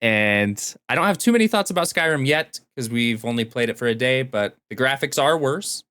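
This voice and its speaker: A man's voice: medium pitch, lively intonation, a little fast, sharp tone, clear, resonant tone, no room sound. A man chatting casually and enthusiastically about video games as a podcast co-host.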